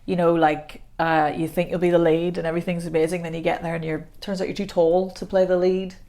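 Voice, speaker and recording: A woman, a voice coach chatting as a podcast guest, self-deprecating and quick to laugh. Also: fairly low pitch, flat delivery, warm tone. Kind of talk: casual podcast conversation.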